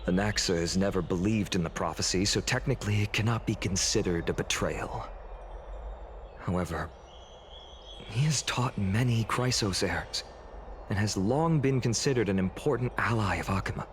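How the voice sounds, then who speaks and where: An actor playing a gentle, earnest warrior who speaks politely and formally in a video game story. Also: male voice, fairly low pitch, normal speed, a little hiss or hum, lightly acted. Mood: earnest, concerned. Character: gentle, earnest male warrior worried for his comrades.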